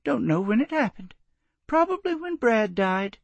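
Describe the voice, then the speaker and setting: A man's voice: extremely high pitch, big pitch swings, moderate pace, vocal fry, no echo, muffled recording, voice pushed up, exaggerated voice. A man doing a frail, proud old woman. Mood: wistful. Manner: genteel, plaintive. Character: elderly woman, polite, grieving, proud. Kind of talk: audiobook character voice.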